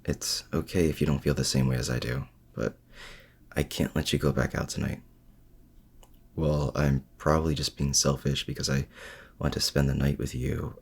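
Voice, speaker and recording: A man playing a lovestruck, protective supervillain in an intimate ASMR roleplay, speaking in a halting, earnest way with a gravelly, husky voice. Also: extremely low pitch, full character voice. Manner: halting, earnest, with hesitant restarts. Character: lovestruck male supervillain, vulnerable, protective, confessing.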